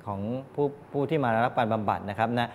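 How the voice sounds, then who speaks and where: An older man giving a classroom lecture as a teacher, explaining in a halting way with frequent polite particles. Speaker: technical college lecturer. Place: medium-sized indoor classroom with students. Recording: reverberant room, clear background noise, low rumble.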